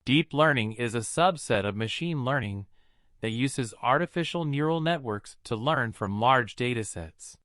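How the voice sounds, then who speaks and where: A man reading an explanatory scripted passage aloud, measured and carefully enunciated. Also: mid-range voice, lively intonation, fairly bright tone, dry recording, clean studio sound. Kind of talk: scripted read-aloud narration.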